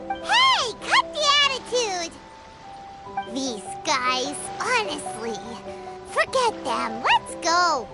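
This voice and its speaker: A tiny, feisty fairy sidekick in an anime game, with an extremely high childlike voice that swings widely. The cheerful little creature speaks unhurriedly over loud music. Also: female voice, huge pitch swings, very slow, heavily acted. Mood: annoyed. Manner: refers to self in third person, exclaiming. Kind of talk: anime video game dialogue acting.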